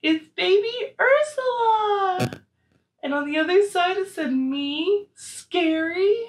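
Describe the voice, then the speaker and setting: A young American woman chatting casually and excitably to camera as a vlogger showing off her purchases. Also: very high voice, slow pace. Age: young adult. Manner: excitable, gushing. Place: small living room, on a couch. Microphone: camera mic a few feet away. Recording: roomy echo, noisy camera audio.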